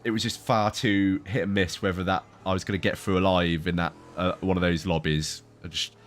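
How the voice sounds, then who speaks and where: A man's voice: low voice, flat delivery, a little fast. A man chatting casually while sim racing with teammates, a bit stuffy from hay fever, rambling and laughing easily.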